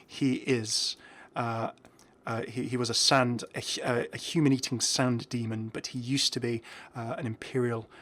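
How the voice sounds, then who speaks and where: A man's voice: medium pitch, level tone, normal speed, fairly bright tone. A man giving casual, enthusiastic game commentary in an informal, chuckly way. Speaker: video creator presenting game content.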